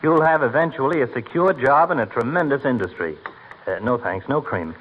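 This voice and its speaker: An actor playing a genial, pompous, needling doctor in 1940s radio comedy on an old recording. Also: male voice, medium pitch, expressive, a little fast, natural voice. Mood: teasing, genial. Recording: dull old radio recording, faint noise.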